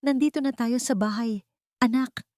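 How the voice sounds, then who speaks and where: A woman's voice: a little high, normal speed, no room sound, studio quality, voice pushed up, natural voice. Woman voicing an earnest, protective older father. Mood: gentle. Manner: earnest, soft-spoken. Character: regretful, protective rich older father, gentle but resolute.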